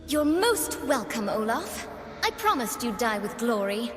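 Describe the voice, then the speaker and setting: A woman playing a young doll-like champion in a video game, gentle but resolute, speaking with earnest precision. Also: fairly high pitch, very animated, measured pace. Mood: curious, friendly. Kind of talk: video game character voice lines. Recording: long reverb, music underneath.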